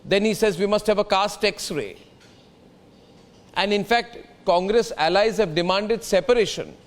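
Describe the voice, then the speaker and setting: A man's voice: high-pitched, a little slow. A man with an Indian accent hosting a heated TV news debate, speaking combatively and insistently, repeating himself and hammering rhetorical questions. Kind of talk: heated political debate and commentary.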